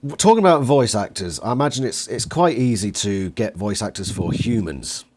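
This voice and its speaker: A man's voice: lowish voice, animated, normal speed, a little mellow, slightly rough. A man asking questions as an interviewer in a casual conversation.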